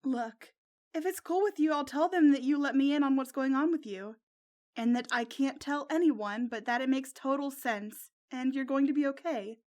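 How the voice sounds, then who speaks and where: A woman voicing a loyal, excitable teenage girl who gushes like a superhero fan in a narrated story. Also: high-pitched, normal speed, natural voice. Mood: reassuring. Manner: gushing, squealing when excited.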